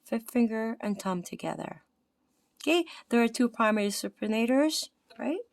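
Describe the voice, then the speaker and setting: A woman's voice: on the high side, animated, moderate pace, gravelly, no room sound, clean studio sound. Tired Filipino woman reading anatomy notes. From Philippines. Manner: reads aloud with slips, self-corrections and filler "okay, right?". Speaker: anatomy study presenter. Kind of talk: reading aloud from a textbook.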